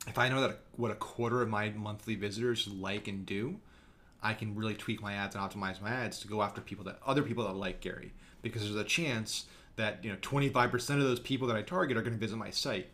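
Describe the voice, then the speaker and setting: A young man teaching an online course over a screen share, talking quickly and casually with frequent fillers. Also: on the low side, fairly bright tone. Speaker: course instructor. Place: small room, indoors.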